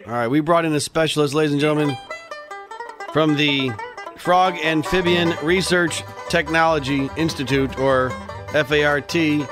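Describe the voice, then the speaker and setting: A middle-aged American man with a fairly high voice hosts comic radio. He banters and questions guests with mock seriousness, always ready to joke. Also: normal speed, over music. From United States. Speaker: radio show host.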